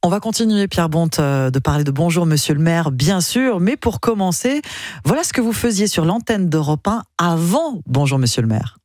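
A man's voice: on the high side, expressive, normal speed, darkish tone. A man hosting a conversational radio interview, putting questions to his guest.

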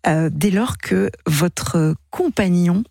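A woman hosts a late-night radio phone-in in a fairly low, husky voice. The sound is close and dry. She chats with her caller live, pausing and searching for words as she goes. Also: darkish tone, no room sound.

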